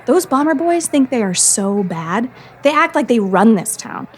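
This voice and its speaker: A woman playing an indignant, sharp-tongued teenage girl student in an audio drama. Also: a little high, big pitch swings, moderate pace, breathy edge. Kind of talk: scripted audio drama acting.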